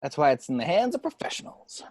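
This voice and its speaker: Woman as a wry office guy, lively pitch. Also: quick pace, no room sound, clean studio sound. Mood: wry banter. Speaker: table read host and actor. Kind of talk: amateur screenplay table read. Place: at home, remote table read.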